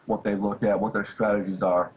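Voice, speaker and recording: A low-voiced American man answers as an interview guest, speaking off the cuff. He halts and searches for his words, with some noise audible behind him. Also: little pitch movement, fairly quick. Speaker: interview guest, activist source. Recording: clear background noise.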